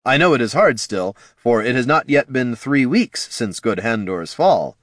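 A man playing a dashing, smug male knight champion with a booming, trumpet-like voice and a grandly heroic, smooth-talking manner. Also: big pitch swings, a little slow, a bit bright, no room sound, clean studio sound.

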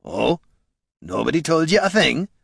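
Man voicing a gravelly, folksy dwarf adventurer. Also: a little high, sing-song, a little slow, fairly bright tone, no echo, clean studio sound, voice pushed up, heavily acted. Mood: suspicious. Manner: folksy, rough grammar. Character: tough, shrewd male dwarf adventurer, folksy and fierce. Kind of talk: audiobook character dialogue.

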